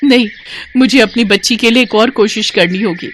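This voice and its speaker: A woman's voice: on the high side, normal speed, a bit bright, a little hiss or hum, lightly acted. A woman voicing a poor pleading young mother. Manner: pleading, tearful.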